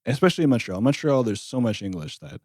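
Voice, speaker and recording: A man in his thirties, a jazz bassist, chatting in an interview with a loose, rambling storytelling manner. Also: lively intonation, fast talker, darkish tone, no echo, clean studio sound. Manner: rambling storyteller, frequent "like" and restarts. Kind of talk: casual interview chat.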